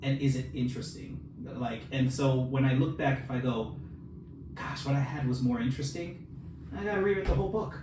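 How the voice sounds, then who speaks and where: A man's voice: medium pitch, a little fast, recorded from a distance. A man talks casually about writing as a co-host, often poking fun at himself. His mid-range voice comes from a little way off the mic in a roomy space, with noticeable background noise. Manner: joking, self-deprecating. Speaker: podcast co-host and fiction writer.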